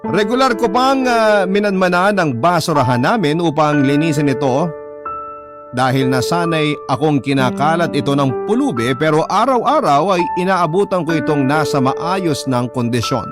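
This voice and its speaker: A Filipino man playing a shop owner, narrating his own remorseful story for a radio drama in a measured, confessional way. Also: on the high side, lively intonation, natural voice. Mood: regretful, confessional. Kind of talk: first-person dramatised narration for radio programme. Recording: loud music bed behind voice.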